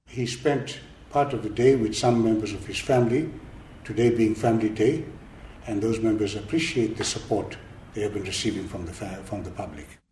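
A man delivers an official statement as a spokesman. His voice is low and mellow, recorded in an echoey space with faint noise. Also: moderate pace. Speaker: government spokesman. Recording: roomy, faintly noisy news clip.

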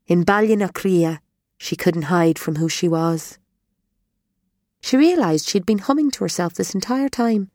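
A woman narrating an audiobook in a measured, expressive storytelling manner, recorded in a studio. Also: lowish voice, no room sound.

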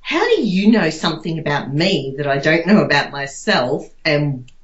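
Low-voiced Australian woman, echoey recording. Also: expressive, moderate pace. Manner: rambling, conversational, many "you know"s. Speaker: interview guest. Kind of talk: casual reflective interview answers. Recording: echoey room, slightly dull sound.

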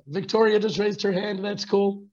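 A hoarse man who has lost his voice, casually chatting and greeting viewers by name in a live online talk. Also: high-pitched, little pitch movement, a little slow, a little mellow. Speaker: fellowship teacher. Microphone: video call. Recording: dull video call audio.

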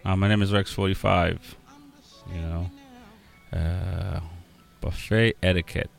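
Gravelly low-voiced radio host. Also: male voice, steady tone, soft background music. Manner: halting, filler-heavy rambling. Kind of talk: casual radio talk.

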